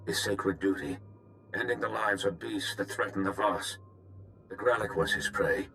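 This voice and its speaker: A solemn alien in a video game. His low voice has a forceful, sharp edge and a stern, formal, clipped delivery, with faint noise behind. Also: normal speed, gravelly, heavily acted, poor audio. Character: stern, solemn male alien speaking over a comm. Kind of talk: video game cutscene acting.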